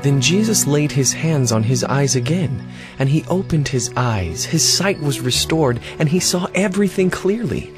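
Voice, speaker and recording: A man's voice: fairly high pitch, expressive, normal speed. A man giving scripted, dramatic narration of scripture for an audio drama. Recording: music behind the narration.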